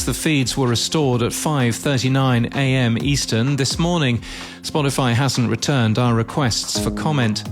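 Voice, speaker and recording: A man presenting a scripted podcast news bulletin in crisp newsreader phrasing with wry touches. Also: mid-range voice. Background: background music.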